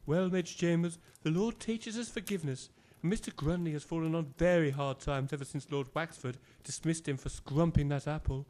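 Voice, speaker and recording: An actor playing a genteel, effusive village vicar with an English accent, over-polite and fawning, in a comic audio drama. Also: male voice, on the high side, fairly quick, mellow, natural voice. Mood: gently earnest.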